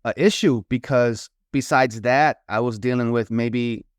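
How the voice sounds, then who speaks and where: Man, middle-aged, from New York, podcast guest, reflective storytelling, code-switching into Spanish, crisp voice. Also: mid-range voice, a little slow, dry recording, studio quality. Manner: reflective storyteller who slips into Spanish, many "you know" fillers. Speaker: guest, author and consultant. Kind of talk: casual interview talk.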